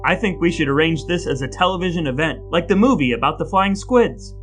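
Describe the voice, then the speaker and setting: A man's voice: on the high side, animated, fairly quick, a bit bright, soft background music. A man co-hosting a podcast with scripted, playfully mock-solemn delivery and banter. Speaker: podcast co-host. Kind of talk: scripted dramatic reading and bantering podcast talk.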